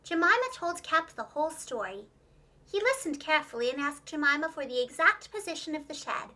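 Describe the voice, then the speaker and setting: Female children's storyteller: high, bright voice, expressive and clearly enunciated, scripted storybook narration. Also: brisk. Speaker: preschool teacher and children's storyteller.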